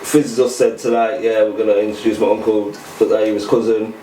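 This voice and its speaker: A man's voice: fairly low pitch, level tone, fairly quick. A man hosting a podcast, laid-back and chatty. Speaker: podcast host. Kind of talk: casual chat. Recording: echoey room, mic far off, noisy.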